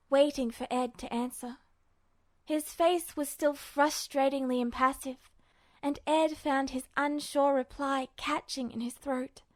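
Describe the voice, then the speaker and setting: High-voiced woman reading fiction, slow and dramatic. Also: dry recording. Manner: dramatic, emotive. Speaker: podfic reader. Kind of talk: expressive fiction narration.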